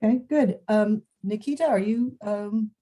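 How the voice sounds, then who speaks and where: A woman's voice: mid-range voice, a little slow, warm tone. A woman hosting an online alumni panel, friendly, with hesitant fillers, on a video call. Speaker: panel moderator.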